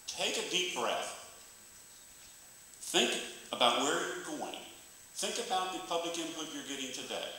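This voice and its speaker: A man's voice: mid-range voice, pushed and bright. A man in his sixties making a blunt, emphatic public comment to county officials at a meeting, speaking into a hand-held mic. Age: 60s. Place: county meeting room, roomy. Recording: echoey meeting room, distant mic, faint hiss.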